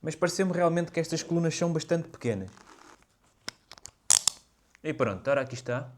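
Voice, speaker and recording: A man's voice: on the high side, lively intonation, normal speed, noisy background. A man with a European Portuguese accent talks casually and explains things conversationally, as a motorcycle vlogger reviewing gear to camera.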